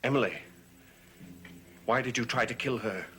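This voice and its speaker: An actor playing the commanding, tormented master of a cursed manor in a 1960s gothic soap opera, stern and theatrical. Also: male voice, mid-range voice, moderate pace, bright, forceful tone, slight background noise, lightly acted. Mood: stern, threatening.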